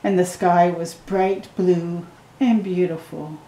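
Slow, mellow-voiced woman reading a storybook. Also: lowish voice, reverberant, poor audio. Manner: slow, gentle reading with excited asides to the viewer. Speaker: storytime reader. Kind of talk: reading a picture book aloud to children. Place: living room at home.

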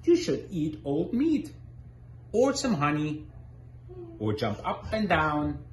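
Cheerful, pushy storybook animals, acted out by a man, coax with sing-song suggestions. The pitch leaps about, and the room is echoey with slight noise. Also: very animated, slow. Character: cheerful, pushy jungle animals urging a friend. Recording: roomy living room, background noise.

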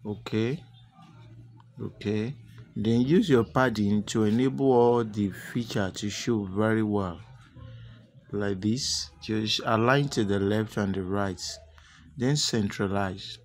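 Man, lowish pitch, software tutorial presenter, unscripted step-by-step instructions, repetitive phrasing, slightly noisy recording. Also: slow pace.

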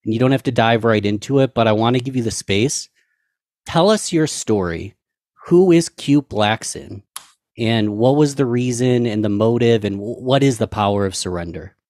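A man hosting a podcast interview, asking his guest a question in a slow, deliberate way through a headset. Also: mid-range voice, a little mellow, no room sound, studio quality. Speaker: podcast host. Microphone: headset on video call.

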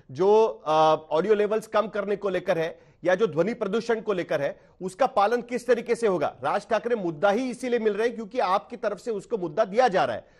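A man's voice: high voice, darkish tone. A man in his forties with an Indian accent, questioning a guest as a broadcast news anchor. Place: TV news studio.

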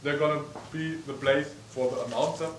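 A man's voice: a little high, fairly even intonation, moderate pace. Young man touring an echoey barn. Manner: chatty, frequent ums, gestures while walking. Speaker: vlogger giving a tour. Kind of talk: casual walkthrough narration. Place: large old wooden barn with hay. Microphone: camera mic at a distance. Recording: echoey barn, distant mic, faint noise.

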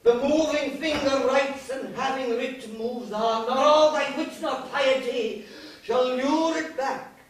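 An actor with an Irish accent playing an old war veteran on stage, eloquent, defiant and haunted, declaiming deliberately. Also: male voice, very high-pitched. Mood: defiant, lofty. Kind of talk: live stage play acting. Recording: echoey theatre stage, distant mic, faint hiss.

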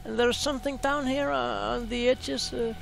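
A man hosting a space video, talking casually and excitedly over a screen share, often repeating words. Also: extremely high pitch, normal speed, creaky, slight background noise.

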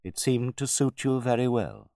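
A grave butler played by a male storyteller speaks with formal, measured courtesy. Beneath the deference sits quiet disdain and polite condescension, in a dry, close storybook reading. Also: mid-range voice, lively intonation, no echo, pitched above the performer's own voice, lightly acted. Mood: disdainful.

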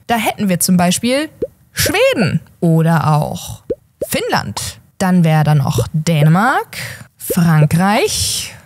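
Lively sarcastic young woman host, slightly husky. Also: on the high side. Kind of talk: opinion commentary. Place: home studio with gaming chair. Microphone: desk studio mic.

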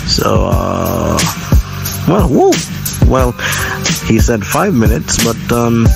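A man playing a smooth-talking, self-assured police officer in an audio drama, familiar in manner. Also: mid-range voice, very animated, takes it slowly, heavily acted. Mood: patronising. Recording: loud music behind the voice.

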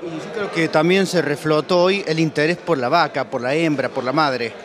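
A middle-aged man with an Argentine accent, presenting and interviewing as an agricultural TV journalist in measured, formal phrases. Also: a little high, a crowd in the background. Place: large indoor event hall. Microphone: handheld interview microphone.

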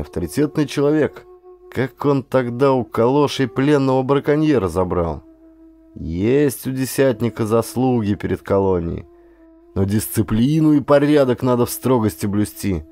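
A man's voice: medium pitch, warm tone, lightly acted. A man voicing a stern, irritable but shrewd aging colonial governor who speaks in a commanding, old-fashioned official way for an audiobook.

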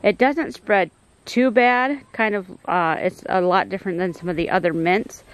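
A woman's voice: mid-range voice, normal speed. Middle-aged woman chatting thru her garden outdoors on a phone cam, easygoing, lots of ums. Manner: relaxed, explanatory, frequent ums and uhs. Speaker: homestead gardener giving a garden tour. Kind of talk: casual garden tour narration. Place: outdoor backyard garden and greenhouse.

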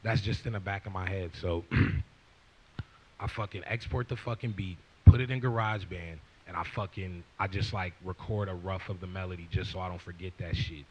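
Deep-voiced young man telling a story. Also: fairly even intonation, moderate pace, a little mellow, slight background noise, poor audio. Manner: profane, rambling, acts out quoted lines. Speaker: guest rapper. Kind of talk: casual storytelling. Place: stage with leather couch before audience. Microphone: handheld mic.

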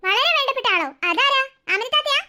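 A woman's voice: very high voice, lively intonation, fast talker, pushed and bright, heavily acted. A woman voicing a busy mother who scolds and calls out impatiently from the kitchen.

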